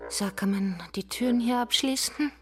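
A woman's voice: fairly high pitch, moderate pace, lightly acted. An actress playing a pupil's mother in a radio drama, seductive and menacing, brazen and wounded, with a provocative, insinuating delivery. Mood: menacing, hushed. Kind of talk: stage-like radio drama dialogue.

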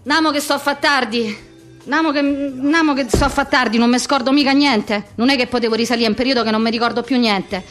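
A woman's voice: high-pitched, steady tone, a little fast, fairly bright tone, faint music behind. An actress playing a neurotic, overwhelmed Roman street woman, rattling off staccato lists in a theatrical monologue with a Roman accent. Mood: weary, resigned. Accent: Roman Italian. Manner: rambling, staccato lists of short phrases.

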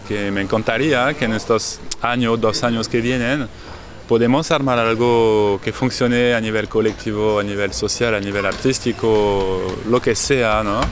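A man's voice: fairly low pitch, steady tone, normal speed. A man speaking casually and personally, as an artist sharing reflections. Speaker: visual artist. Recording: noisy outdoor city street, traffic.

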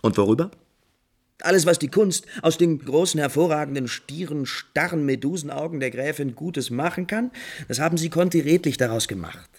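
A man playing an impulsive, refined, lovesick prince in 1950s German radio drama, theatrically shifting between musing and brisk command. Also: fairly high pitch, breathy edge. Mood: animated, critical. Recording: 1950s radio recording with music behind.

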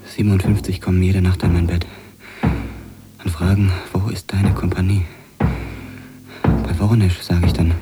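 A man reads literary prose and verse in a deep, low register with an intense, brooding delivery. Loud music swells underneath him. The reading is dramatic and heavy with repetition. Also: level tone, normal speed, long pauses. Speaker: reader of literary texts.

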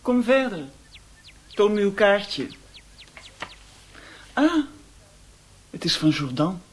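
An actress in a radio drama playing a poised, mysterious European hostess, commanding and teasing. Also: female voice, medium pitch, very animated, takes it slowly, a little hiss or hum. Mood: cool, inviting.

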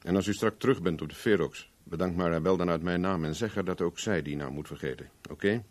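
A man's voice: sits low, fast. A man acting a cynical, flirtatious, hard-drinking private investigator in a 1970s Dutch radio play, wry and firm. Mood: firm, warning. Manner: wry, teasing, evasive, firm when pressed.

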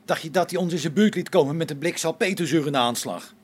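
An amateur actor in a radio play as an excitable, sarcastic space crewman, a man with a biting, exclamatory manner. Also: on the high side, fast, slightly rough. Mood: exasperated, sarcastic.

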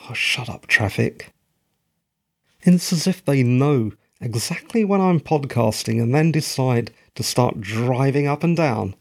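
A podcast host with a croaky, gravelly voice that creaks at the bottom. He talks slowly and deliberately, pausing often. He wanders off into rambling, self-mocking asides. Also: medium pitch, expressive. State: croaky, tired voice. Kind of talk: solo podcast recap monologue.

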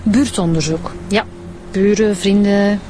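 An actress playing a brisk Flemish police inspector who reports facts plainly, in a radio drama. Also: female voice, medium pitch, noisy background, close to an everyday voice.

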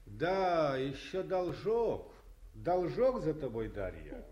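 An actor playing a curious, teasing colonel in a 1950s radio play, probing with questions. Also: male voice, on the high side, very animated, slow pace, a little mellow, highs cut off, a little hiss or hum.

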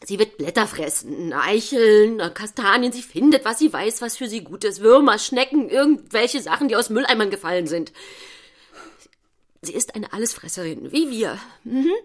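A woman's voice: mid-range voice, animated, moderate pace, close to an everyday voice. An actress playing an earnest, self-righteous mother who is easily outraged, speaking emphatically in a stage-like drama. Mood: soothing motherly.

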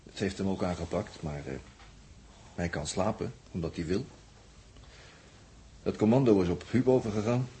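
A faintly noisy 1960s radio play recording. A man plays a hesitant space crewman with a lowish voice and a Netherlands Dutch accent. He talks in a colloquial, halting way. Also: warm tone, natural voice. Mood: subdued. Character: plain-spoken, hesitant male spaceship crewman.

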